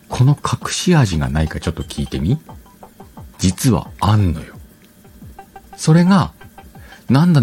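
A man's voice: low-pitched, darkish tone. A man hosting a casual online radio show, chatting colloquially and addressing listeners directly. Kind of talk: casual solo talk and advice to streamers.

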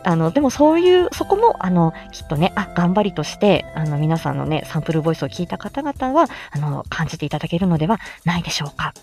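A woman's voice: on the low side, big pitch swings, fast, few pauses, a little mellow, soft background music. A woman hosting a casual livestream, chatting and giving encouraging tips in the manner of an amateur voice actor. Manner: chatty, encouraging, often chuckling.

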